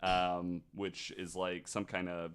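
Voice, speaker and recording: A man with a fairly low voice hosts a casual podcast. He tells stories informally, in halting, conversational phrases, as if chatting with friends. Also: completely flat delivery, a bit bright, clear, resonant tone. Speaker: podcast host. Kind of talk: casual storytelling among friends.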